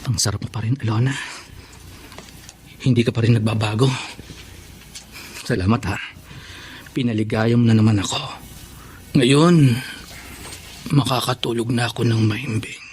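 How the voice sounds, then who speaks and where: A man's voice: medium pitch, fairly even intonation, normal speed, pauses often, a little mellow, a little hiss or hum, close to an everyday voice. Actor as smug, selfish male lover. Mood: smug, sleepy. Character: selfish, sated live-in partner, smug brute. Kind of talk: Filipino radio-style melodrama acting.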